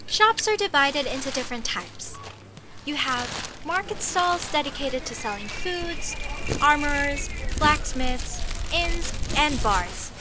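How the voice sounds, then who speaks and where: Woman acting as young female elf mage, high-pitched, slow-paced, expressive, indignant, inquisitive, comedy roleplay, noisy background. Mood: proud, eager. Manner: expressive, questioning, proudly defensive. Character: outspoken, curious young female elvish mage, easily indignant. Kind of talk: comedic audio roleplay, spoken to the listener.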